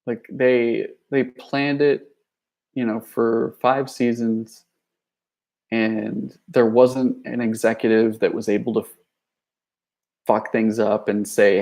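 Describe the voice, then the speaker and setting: Gravelly young man rambling casually. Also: medium pitch, darkish tone. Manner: rambling, many fillers and restarts; reads viewer chat messages aloud. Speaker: youtuber. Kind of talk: casual livestream chat.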